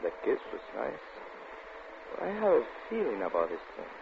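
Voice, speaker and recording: An actor playing a wry, guarded soldier of fortune in a 1940s radio drama. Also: male voice, medium pitch, huge pitch swings, moderate pace, creaky. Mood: wary, teasing. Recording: tinny, noisy old radio recording.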